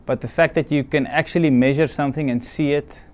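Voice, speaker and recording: A middle-aged South African man for whom English is a second language, answering interview questions in a modest, hesitant way with many pauses. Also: on the high side, fairly even intonation, a little mellow. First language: Afrikaans or other non-English language. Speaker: team doctor, guest.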